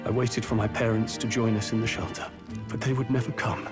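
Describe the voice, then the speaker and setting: An actor playing an earnest, reflective young nobleman in a video game, with quiet bitterness in his delivery. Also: lowish voice, steady tone, a little fast, over music, lightly acted. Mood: reflective, wistful. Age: young adult.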